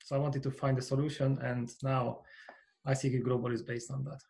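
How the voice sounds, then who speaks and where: A man's voice: medium pitch, little pitch movement, fairly quick, gravelly, darkish tone. A man from Hungary with a Hungarian accent, a company founder and coach speaking earnestly as a podcast guest, letting his phrases fade at the ends. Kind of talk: podcast interview.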